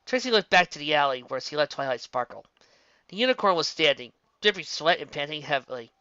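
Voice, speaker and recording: Story narrator: bright, forceful mid-pitched voice, scripted read with small stumbles, dry and slightly dull recording. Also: animated, fairly quick, smooth, dry recording.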